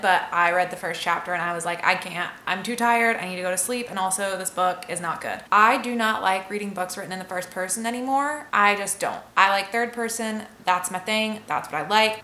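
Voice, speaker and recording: Casting: female book vlogger, bright forceful voice, candid and chatty, given to exaggeration, recorded in a roomy space. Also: medium pitch, slight background noise. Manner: candid, exaggerating, self-interrupting. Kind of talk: casual chatty vlog review.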